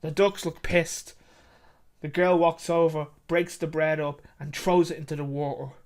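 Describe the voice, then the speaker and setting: Storyteller, medium pitch, dramatic short story narration, emphatic, repetitive phrasing, occasional stumbles. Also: flat delivery, a little slow, darkish tone.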